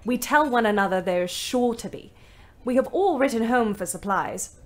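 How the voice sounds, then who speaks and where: A woman's voice: on the high side, animated, moderate pace, close to an everyday voice. An actress playing a wry, resentful young British woman ambulance driver, narrating her diary in an emphatic way. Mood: cheerful. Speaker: actor narrating an audio play.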